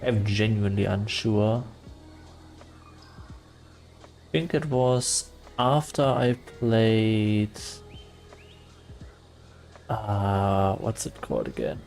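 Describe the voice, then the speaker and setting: A man streaming live and chatting casually with his viewers, soft and calm, often pausing on fillers. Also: on the low side, gravelly, soft background music. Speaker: livestreamer.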